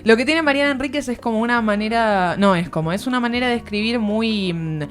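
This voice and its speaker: A woman's voice: a little high, a little fast, soft background music. A woman with a Buenos Aires area Argentine accent giving a conversational book commentary at a studio microphone, reflective and informal, searching for words as she explains. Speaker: literary columnist on a radio show. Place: radio studio.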